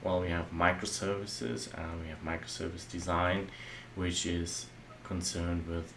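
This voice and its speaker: A man who grew up speaking German, a software architect giving a relaxed, loosely worded talk over his slides, with many fillers. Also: deep voice, unhurried, slight background noise.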